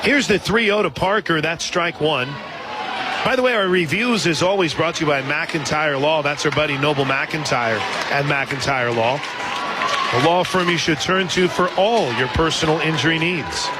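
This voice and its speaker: A man doing live radio play-by-play commentary of a softball game as the announcer. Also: a little high, background noise. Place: outdoor softball stadium. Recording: crowd roar and loud music behind. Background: loud crowd and music.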